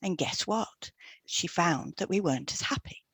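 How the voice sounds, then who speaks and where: A woman's voice: lowish voice, animated, moderate pace, creaky, a bit bright, slightly rough, dry recording. A woman reading a scripted educational piece aloud as a podcast co-host.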